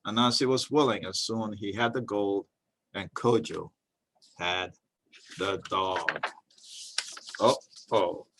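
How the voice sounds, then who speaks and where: Guy reading a storybook out loud, expressive n folksy, chats a bit between lines. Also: lowish voice, slow pace, long pauses. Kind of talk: storybook reading with casual asides.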